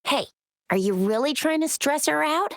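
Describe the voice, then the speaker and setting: A brash young game companion with a high, bright voice, joking breezily at every turn. Her voice is dry and close with no room around it. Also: animated, normal speed, no room sound. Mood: breezy. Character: bold, teasing young woman companion. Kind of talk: game cutscene voice acting.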